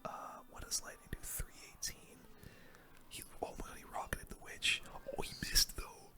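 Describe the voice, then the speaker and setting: Whispering ASMR gamer, casual and breathy. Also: normal speed. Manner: casual, slangy. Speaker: gaming video host. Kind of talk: whispered ASMR game commentary.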